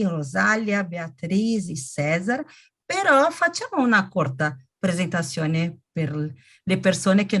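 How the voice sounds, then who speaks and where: Slow, deliberate woman leading a conversation class. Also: medium pitch, a bit bright. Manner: slow, deliberate, laughs easily. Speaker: conversation group leader.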